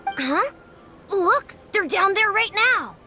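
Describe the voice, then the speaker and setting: A woman voicing an excitable, innocent small child in a game, eager and guileless. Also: very high voice, takes it slowly, music in the background, full character voice. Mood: eager, bright. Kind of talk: anime-style game dialogue.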